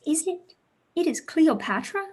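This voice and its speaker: A woman's voice: very high voice, sing-song, unhurried, a little mellow, a little hiss or hum, heavily acted. A woman playing a precocious, passionate teenage pupil in a staged period play, eager, witty and argumentative. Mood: impassioned.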